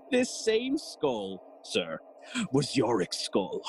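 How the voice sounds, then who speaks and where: An actor playing a jolly, cheeky gravedigger who riddles and teases in a theatrical bilingual Spanish-English Shakespeare audio play. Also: male voice, high voice, wildly swooping pitch, extremely slow, gravelly, heavily acted. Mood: amused, exclaiming. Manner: teasing, sighing and chuckling, theatrical.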